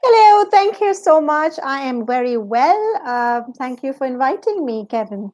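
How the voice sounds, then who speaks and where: A woman's voice: high-pitched, big pitch swings, unhurried, a little mellow, no echo, clean studio sound. A woman from Pakistan answering interview questions as a guest coach, explaining things at length.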